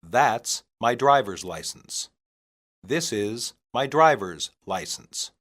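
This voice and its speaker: A man reading scripted English practice phrases for learners, deliberately and in separated phrases. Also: mid-range voice, animated, a bit bright. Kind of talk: scripted language-lesson reading.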